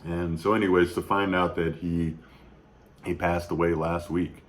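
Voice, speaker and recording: A man in his thirties speaking off the cuff in a somber, reflective video tribute, halting often with fillers and restarts. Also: deep voice, level tone. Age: 30s. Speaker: YouTube vlogger. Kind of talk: unscripted personal tribute.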